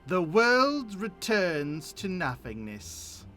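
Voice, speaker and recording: A man's voice: high-pitched, sing-song, very slow, sharp tone, faint music behind, heavily acted. A man voicing a gloomy, timid young student with an earnest, formal way of speaking, reading game dialogue aloud. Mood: hesitant, gloomy.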